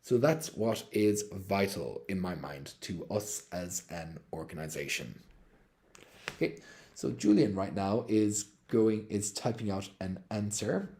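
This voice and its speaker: Animated man answering questions. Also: low-pitched, unhurried. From Ireland. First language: Irish. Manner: animated, emphatic, explains at length. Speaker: company representative answering questions. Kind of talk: livestream AMA.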